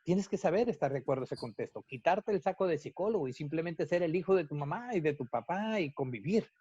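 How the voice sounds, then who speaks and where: A Mexican man, a psychologist, explaining his views at length in an interview, in a measured, lecturing way. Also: fairly high pitch, lively intonation, mellow, breathy edge. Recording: dull, lo-fi, dry sound.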